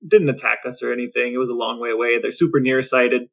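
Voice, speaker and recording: A man's voice: mid-range voice, steady tone, fast, vocal fry. A man chatting casually as a podcast host, telling stories in a conversational way.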